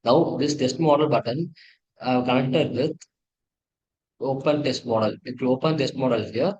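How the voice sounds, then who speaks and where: Halting Indian-accented male tutorial presenter. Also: medium pitch, darkish tone, poor audio. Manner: halting, repetitive, self-correcting. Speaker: presenter of an engineering project demo. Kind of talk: tutorial walkthrough, partly read from slides.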